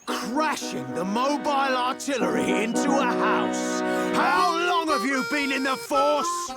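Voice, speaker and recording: A man's voice: very high voice, expressive, a little slow, loud music behind the voice. A man playing a blustering, bullying army colonel in a video game, barking and scolding his subordinates. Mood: furious, barking. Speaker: military commander. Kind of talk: video game cutscene acting.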